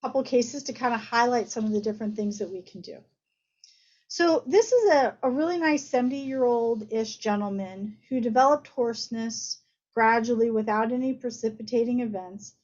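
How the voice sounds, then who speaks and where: Higher-voiced woman giving a medical lecture. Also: moderate pace, darkish tone, muffled recording. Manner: explanatory, conversational, with everyday analogies. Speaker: doctor lecturing on voice disorders.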